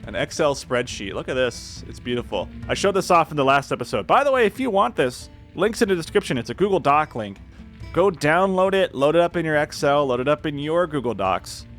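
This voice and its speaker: A man's voice: on the high side, sing-song, fairly quick, a bit bright. A man giving casual, rambling, self-deprecating commentary while playing a video game. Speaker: gaming video host. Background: music.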